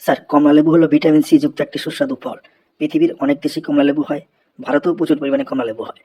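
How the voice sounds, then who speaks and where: A man's voice: fairly high pitch, fairly even intonation, very fast, mellow, slightly rough. A man voicing a cheeky, long-winded schoolboy in a comedy cartoon, reciting earnestly and respectfully. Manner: earnest classroom recitation, deferential, rambling.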